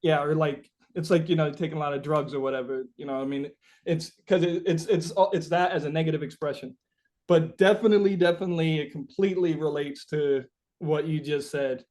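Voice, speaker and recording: A man being interviewed as a musician, chatting casually and feeling his way through rambling, halting explanations. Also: on the high side, steady tone, a little mellow.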